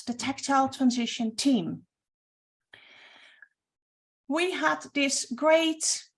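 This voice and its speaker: A woman with a Norwegian accent, Norwegian being her first language, presenting a webinar as project coordinator in earnest, slightly halting English. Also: sits high.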